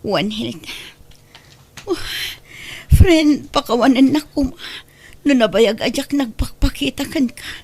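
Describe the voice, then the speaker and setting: A woman voicing a young wife in an audio drama, speaking in an emotional, pleading and often hushed way with a husky voice. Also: high-pitched, animated, measured pace, long pauses, heavily acted. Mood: remorseful.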